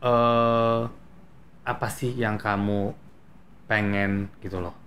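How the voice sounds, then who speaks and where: A man's voice: on the low side, flat delivery, slow, fairly bright tone, slight background noise. A male interview host asking questions in a chatty, prompting way, mixing in English phrases. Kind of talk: casual interview.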